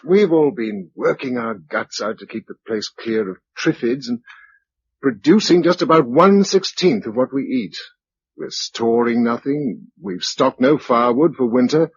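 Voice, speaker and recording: A man's voice: medium pitch, animated, normal speed, gravelly, slightly rough, no room sound. An actor playing a practical, weary male leader of a survivor household in a radio drama, matter-of-fact and decisive. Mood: grim, firm. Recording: dull lo-fi radio recording.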